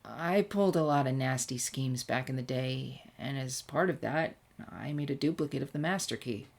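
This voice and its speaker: A woman's voice: normal speed, creaky, heavily acted. A woman voicing a guarded, anxious teenage girl with a casual, evasive manner in a story reading. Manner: low-key, evasive, casual.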